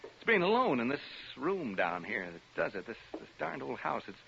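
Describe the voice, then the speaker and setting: A man's voice: medium pitch, very animated, normal speed, vocal fry, sharp tone, full character voice. An actor playing a jittery, skeptical radio DJ alone in a haunted house, stammering and chatting nervously to his listeners in 1940s radio style. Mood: jumpy. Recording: noisy, dull 1940s radio recording.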